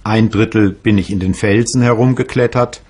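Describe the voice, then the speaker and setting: A reflective male physicist recalls his life in measured, first-person documentary narration. His voice sits fairly low and has a slightly husky grain. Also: steady tone, a little mellow. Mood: reminiscing.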